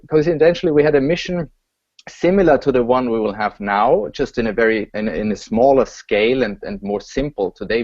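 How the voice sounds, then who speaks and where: Austrian male flight director, mid-range voice, measured delivery, dry lo-fi call audio. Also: medium pitch, darkish tone, no echo. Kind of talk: casual panel introduction. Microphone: video call.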